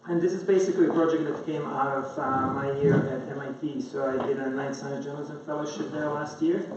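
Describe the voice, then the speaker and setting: Dull sound, faint noise, man, medium pitch, hesitant informal conference talk. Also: darkish tone. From Croatia. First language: Croatian. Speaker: conference speaker, science journalist. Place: indoor conference room. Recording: very echoey room, distant mic, dull, faint noise.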